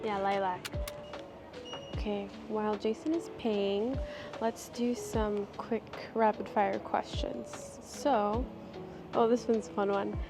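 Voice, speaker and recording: A woman's voice: mid-range voice, steady tone, slow, over music. A woman in her thirties from Washington state, chatting casually and a little formally while vlogging through a shopping mall with a handheld camera. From Washington state, USA. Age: 30s. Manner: chatty, giggly, slightly formal phrasing. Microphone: handheld gimbal camera.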